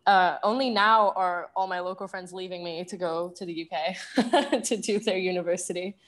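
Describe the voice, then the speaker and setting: A young woman from the UK, raised in Asia, with a British accent tinged by Singaporean English, talking things through thoughtfully with many pauses and restarts. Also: on the low side, steady tone, a bit bright. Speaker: guest. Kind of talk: conversational interview.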